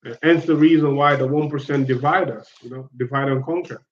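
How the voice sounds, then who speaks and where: A man's voice: medium pitch, fairly even intonation, a little fast. A man from Guinea-Bissau, hosting a casual chat, talks in a hesitant and reflective way, often repeating himself as he gathers his thoughts. His voice sits in the middle of the usual range for a man. The audio is dull and lo-fi, with a roomy echo around him. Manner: hesitant and reflective, repeats words while searching for them.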